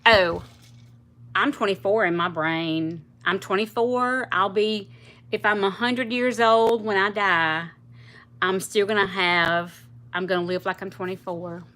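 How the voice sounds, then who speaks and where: Woman, late fifties, livestream host; bright, chatty, rambling delivery. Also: medium pitch, steady tone, measured pace. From South Louisiana, US. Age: 50s. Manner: chatty, rambling, laughs easily. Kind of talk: casual livestream chat with viewers.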